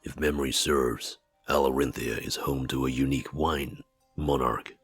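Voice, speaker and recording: Extremely deep, solemn, stately figure. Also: male voice, steady tone, fairly bright tone, soft background music. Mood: solemn, knowing. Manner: formal, measured, old-fashioned. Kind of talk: scripted visual novel dialogue.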